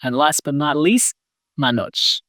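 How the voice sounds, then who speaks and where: A man's voice: a little high, unhurried, a bit bright, no echo, clean studio sound. A man hosting a podcast interview, friendly and upbeat as he introduces guests and asks questions. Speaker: podcast host.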